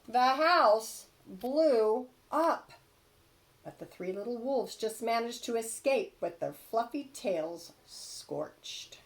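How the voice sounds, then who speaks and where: A woman reads a storybook aloud for children. Her voice is fairly high and very lively in pitch, with emphatic stresses and dramatic pauses. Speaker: storybook reader.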